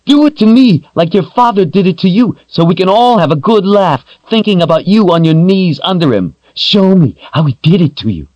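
A man voicing a defiant young woman captive who screams and mocks in a high, strained voice. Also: sing-song, normal speed, hardly any pauses, a little mellow, dry recording, highs cut off. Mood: taunting. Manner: shrill, screaming and mocking.

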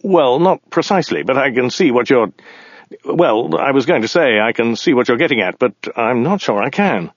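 A man's voice: mid-range voice, animated, moderate pace, no echo, lo-fi sound, natural voice. A man voicing a polite, bemused Englishman in an audiobook story, hesitant and drily precise.

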